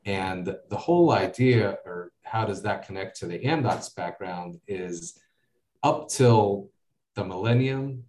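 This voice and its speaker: A man with an American accent talks in a loose, rambling way, filling his pauses with "uh" and "you know". His voice sits low. He is recorded from a distance in a noticeably echoey room. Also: lively intonation, darkish tone, a little hiss or hum. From United States. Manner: rambling, many fillers and asides. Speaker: guest. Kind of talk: casual interview talk.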